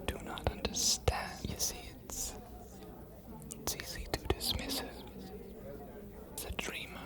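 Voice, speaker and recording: A man's voice: fairly high pitch, exaggerated voice. Man playing an aloof, whispering scholarly vampire. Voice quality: breathy whisper. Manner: measured, formal, musing, hesitant pauses. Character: aloof scholarly male vampire, ancient, courteous and intrigued. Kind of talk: whispered ASMR roleplay.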